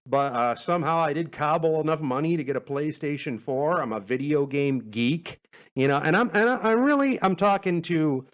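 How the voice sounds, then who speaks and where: Man, middle-aged, somewhat high-pitched, podcast host, casual rambling monologue, chatty, self-deprecating. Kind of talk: casual rambling Q&A monologue, reading out listener questions.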